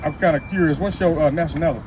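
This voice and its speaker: A man's voice: fairly high pitch, racing, noisy background. A man on a street corner, questioning a passerby in a friendly, curious way. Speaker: street preacher greeting passersby. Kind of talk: casual street conversation. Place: outdoors by a street.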